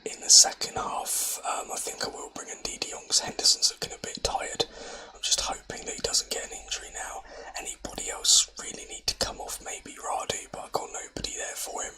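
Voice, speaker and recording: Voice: normal speed, bright, forceful tone, low-quality recording. A gamer, whispering nervous, exasperated commentary over a football management game, close to the mic. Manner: whispering throughout, nervy and exasperated.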